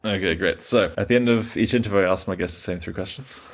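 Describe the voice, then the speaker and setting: A man from Germany hosting a podcast interview, speaking casually and correcting himself as he goes. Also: sits low. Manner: rapid, hesitant, self-correcting. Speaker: podcast host.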